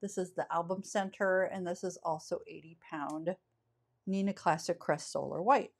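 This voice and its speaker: A woman's voice: on the low side, steady tone, normal speed. A woman hosting a craft channel, talking through a step-by-step card-making tutorial with a scratchy voice from a cold, chatty and easygoing, often correcting herself.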